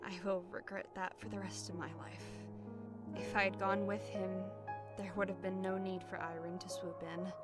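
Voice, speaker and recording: A woman's voice: medium pitch, little pitch movement, normal speed, over loud music, natural voice. A woman playing an earnest young soldier and loyal friend in a fantasy audio drama, with a dramatic delivery. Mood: remorseful.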